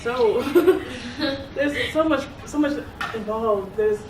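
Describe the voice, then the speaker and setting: A young woman from South Korea talks in a loose, chatty way and laughs easily between thoughts. She sounds a little distant from the mic, in a reverberant room with clear background noise. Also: on the high side, animated, takes it slowly. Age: young adult. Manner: chatty, laughs often, many restarts. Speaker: interviewee, club secretary. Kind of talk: casual interview.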